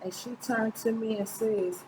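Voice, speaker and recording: A woman's voice: on the high side, fairly even intonation, measured pace, dark tone, slight background noise, poor audio. A middle-aged woman reads a children's picture book aloud informally, stumbling now and then and correcting herself. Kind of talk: storybook read-aloud narration. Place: small indoor room, seated close to the camera.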